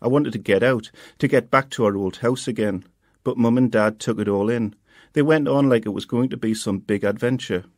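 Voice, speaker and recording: Man, quiet audiobook reading, dry close sound. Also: medium pitch, moderate pace, no echo. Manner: quiet, steady first-person storytelling. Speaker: author reading his novel.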